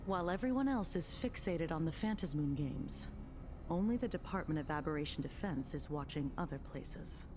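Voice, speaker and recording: An actress playing a world-weary, confident security officer with a dry, knowing, deliberate delivery. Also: female voice, low-pitched, expressive, background noise. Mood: firm warning. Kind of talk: dramatic game voice acting. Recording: music behind the voice.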